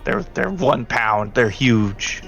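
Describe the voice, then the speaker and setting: A man narrating a tabletop roleplaying game as game master, speaking haltingly and deliberately. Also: medium pitch, expressive, creaky, a bit bright, faint music behind. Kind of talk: narrating a tabletop roleplaying game, partly in character as a briefing handler.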